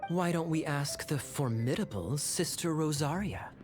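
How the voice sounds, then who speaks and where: Suave teasing knight. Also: male voice, on the high side, lively intonation, unhurried, over music. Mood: wry. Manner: smooth, teasing, wry. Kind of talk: video game dub.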